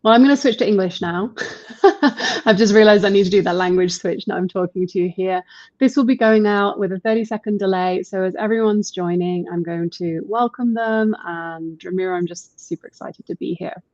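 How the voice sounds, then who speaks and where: A woman's voice: medium pitch, moderate pace, a little mellow, no room sound. A chatty, upbeat woman hosts a live online session. Her voice comes through dull and bone-dry, like webcast audio. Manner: upbeat, chatty, laughs easily. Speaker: host and marketer.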